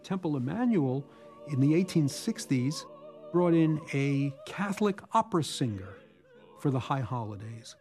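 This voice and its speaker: A man explaining history in an interview in a steady way. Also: fairly high pitch.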